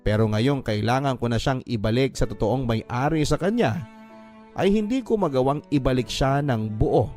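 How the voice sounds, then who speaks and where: A man narrates a listener's confession letter in the first person, earnest and heartfelt. His voice sits at an ordinary middle pitch, and soft music plays under the reading. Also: animated, normal speed. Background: faint background music.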